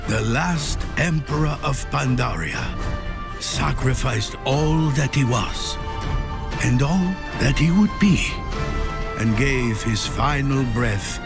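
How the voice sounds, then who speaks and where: A man narrating a scripted fantasy tale as a solemn, deliberate, dramatic storyteller. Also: mid-range voice, expressive. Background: music.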